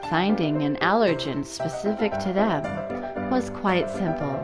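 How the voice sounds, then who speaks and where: A woman voicing a cold, uninterested male bird doctor who speaks formally and flatly in a visual novel. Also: fairly low pitch, unhurried, close to an everyday voice. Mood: clinical, subdued. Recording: loud game music behind voice.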